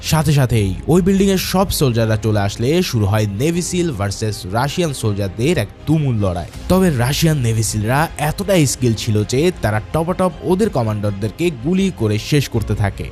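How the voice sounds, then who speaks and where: Fast, animated male movie-recap narrator. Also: medium pitch. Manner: dramatic, addresses viewers informally. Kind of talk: scripted movie-recap narration in casual storytelling tone. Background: background music.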